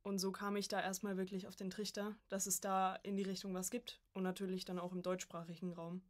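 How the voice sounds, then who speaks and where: A woman's voice: mid-range voice, level tone, a little fast. A woman in her early twenties from Germany, talking informally as a podcast guest about herself. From Coburg, Germany. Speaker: podcast guest, voice acting student. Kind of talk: casual chat, personal anecdotes.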